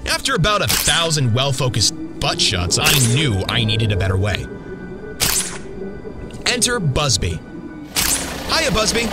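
Man acting quippy, animated young superhero over music. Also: medium pitch. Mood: cocky, quippy. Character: wisecracking young married male superhero, earnest. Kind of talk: motion comic dub with first-person narration. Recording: loud music under the voice.

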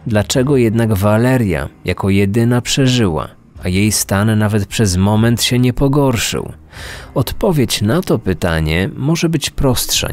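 A man hosting a true-crime podcast in scripted narration. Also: on the low side. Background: music under the voice.

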